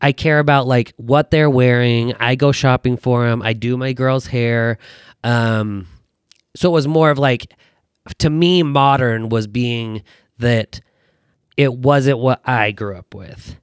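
An American man in his thirties chatting casually as a podcast guest, telling personal stories in an animated, self-deprecating way. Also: mid-range voice, takes it slowly, clear, resonant tone, no room sound, clean studio sound. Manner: animated, self-deprecating joking with acted-out quotes. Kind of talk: casual chat, personal storytelling.

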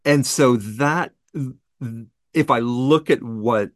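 A man, co-hosting a book podcast, speaking casually and getting animated when excited. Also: slow, no echo, studio quality. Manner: animated, pitch jumps up when enthused. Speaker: podcast co-host.

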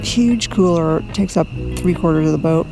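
Gravelly middle-aged woman ranting into a recorder. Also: on the low side, moderate pace, poor audio. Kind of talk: spontaneous audio diary rant. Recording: field audio diary with music.

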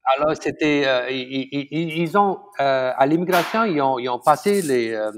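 Middle-aged man, Malaysian accent, Tamil native speaker, guest chef; halting, filler-heavy, chuckling delivery, mid-range pitch. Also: a bit bright. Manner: halting, many fillers and restarts, chuckles often. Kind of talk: casual conversational interview.

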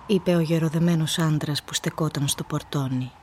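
A woman's voice: low-pitched, fairly even intonation, a little mellow, a little hiss or hum. A woman giving scripted literary narration for a radio drama. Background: music.

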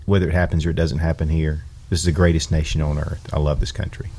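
A man's voice: extremely low pitch, flat delivery, fast talker, mellow, slightly rough. A man in casual conversation, earnestly retelling a friend's story and quoting him with emphasis.

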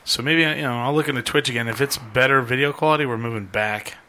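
Bright-voiced man losing his voice. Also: medium pitch, fast talker. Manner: rambling, loose, swears casually. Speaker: podcast host. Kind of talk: casual live-stream chat.

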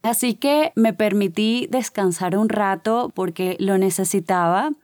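A woman's voice: medium pitch, measured pace, no echo, clean studio sound. A woman from Venezuela with a Caracas accent, hosting a motivational podcast and telling her own story in an upbeat way. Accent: Caracas Venezuelan Spanish. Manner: upbeat and warm, personal storytelling. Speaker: podcast host. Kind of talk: scripted motivational podcast narration.

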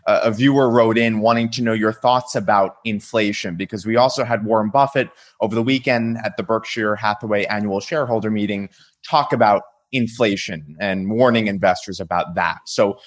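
A man's voice: lowish voice, a little fast. A man hosting a conference call, putting conversational interview questions in a businesslike way. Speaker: host.

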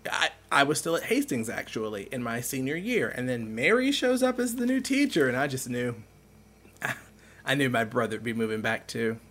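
A man playing a caring, teasing middle-aged uncle in a naturalistic audio drama, speaking in a gentle, wry, reassuring way. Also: medium pitch, expressive, moderate pace. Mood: reminiscing.

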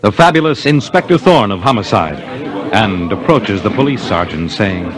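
A man's voice: on the low side, sing-song, moderate pace, crowd noise. A man with an American accent narrating a 1950s radio detective mystery in a scripted, dramatic announcer's style. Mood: grave, dramatic. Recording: 1950s radio broadcast with music.

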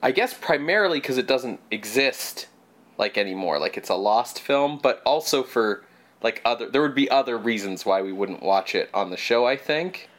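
A young man from Canada, co-hosting a podcast in casual chat, a little stuffed up and nasal, talking in a chatty way with quick asides. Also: mid-range voice, expressive, fairly bright tone. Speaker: podcast co-host.

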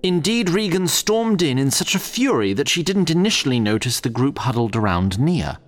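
A man's voice: on the high side, very animated, normal speed. A man narrating a fantasy audio drama in a scripted storytelling style.

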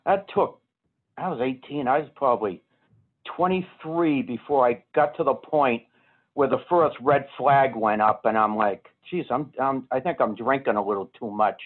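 A man's voice: mid-range voice, slightly rough. Boston man on a tinny phone line. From Boston area, USA. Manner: plainspoken, unhurried storytelling. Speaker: interview guest. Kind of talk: casual conversation.

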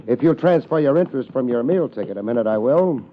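An American actor playing a laid-back, wisecracking hard-boiled private investigator in a 1950s radio detective drama. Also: male voice, medium pitch, animated, quick pace, slight background noise, natural voice. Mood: dry, needling. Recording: muffled old 1950s radio recording.

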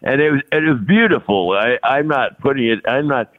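A man's voice: mid-range voice, lively intonation, fairly quick. A man in his sixties, a podcast guest talking casually and at length, often repeating words and laughing between thoughts. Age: 60s. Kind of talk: casual interview conversation. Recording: tinny phone-like line.

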